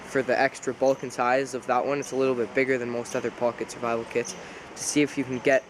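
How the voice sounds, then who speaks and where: A man's voice: mid-range voice, fast. Man, nasal from a cold, easygoing outdoors vlogger talking through a demo, faint outdoor hiss behind. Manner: relaxed, rambling, self-correcting. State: congested with a cold. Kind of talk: casual outdoor demonstration. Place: outdoors by a pond.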